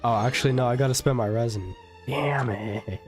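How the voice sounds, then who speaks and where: A man's voice: mid-range voice, moderate pace, faint music behind. A young man giving casual, chatty commentary as he plays a video game, thinking aloud. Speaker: gaming streamer.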